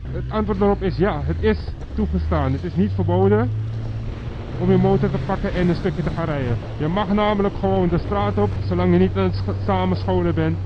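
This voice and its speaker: A man giving a measured, explanatory opinion monologue to viewers as a motovlogger. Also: sits high. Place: outdoors on a moving motorcycle in city traffic. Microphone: microphone inside a motorcycle helmet. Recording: muffled helmet recording with loud engine and wind noise. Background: engine, wind and road traffic noise.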